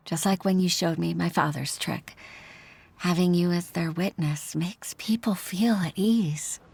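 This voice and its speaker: Middle-aged working mother, lowish pitch, humble, heartfelt, hesitant game dialogue. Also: takes it slowly, gravelly, husky, dry recording, exaggerated voice. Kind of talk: video game character acting.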